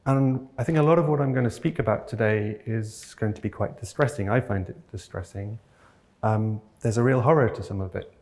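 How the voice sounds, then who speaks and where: Man, keynote speaker, from north wales, lowish voice, reflective, earnest, hesitant phrasing. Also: fairly quick. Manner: thoughtful, searching for words, often hesitant; earnest and gently enthusiastic. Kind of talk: reflective conference talk. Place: talk venue, audience present.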